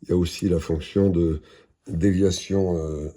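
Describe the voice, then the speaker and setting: Male product presenter, very dark husky tone, matter-of-fact and halting, unscripted demo. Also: deep voice, steady tone.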